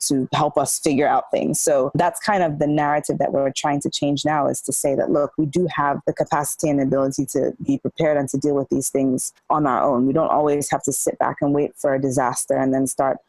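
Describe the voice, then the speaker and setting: Low-voiced woman, earnest and measured, guest on a podcast, dry close sound. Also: level tone, darkish tone, dry recording, rough recording. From Nigeria. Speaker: interview guest. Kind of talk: conversational interview.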